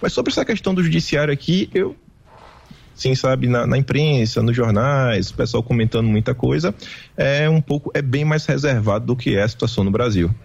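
A man from Bahia with a Bahian Brazilian accent, a remote guest giving animated, casual political commentary. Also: mid-range voice, a little fast, gravelly, husky, highs cut off. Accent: Bahian Brazilian Portuguese. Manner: animated, mimics others' voices in quotes.

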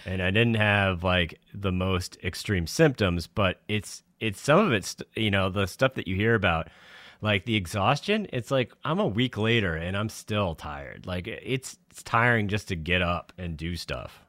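Lively, expressive male radio co-host. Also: low voice, a little slow, no echo, clean studio sound. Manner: animated, acts out quoted reactions. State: recovering from covid, congested. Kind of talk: casual radio chat.

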